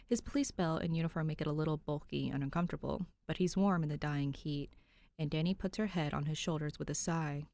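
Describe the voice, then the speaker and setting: A woman's voice: low-pitched, brisk, a little mellow. A woman narrating fan fiction expressively from a script. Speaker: podfic narrator.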